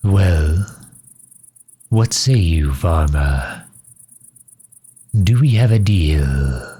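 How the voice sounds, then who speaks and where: A man playing a courteous, earnest vampire leader in an audio roleplay, speaking softly with formal, old-fashioned politeness. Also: deep voice, expressive, drawn-out words, husky, soft background music, exaggerated voice. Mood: earnest, reassuring. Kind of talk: scripted ASMR roleplay, addressing the listener.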